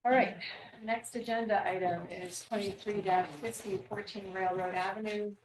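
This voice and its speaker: Woman, mid-pitched voice, brisk agenda-running tone, distant from the mic, echoey room. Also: steady tone. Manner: brisk, moves through the agenda. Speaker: commission chair running the meeting. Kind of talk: chairing a public hearing. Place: town meeting room.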